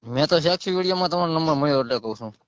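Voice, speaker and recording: A man's voice: fairly high pitch, lively intonation, rapid-fire, no echo. A man in his thirties with a Saurashtra Gujarati accent, calling on the phone and arguing in a defensive, insistent way. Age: 30s. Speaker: caller. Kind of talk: argumentative phone conversation. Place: phone call. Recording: muffled phone call recording.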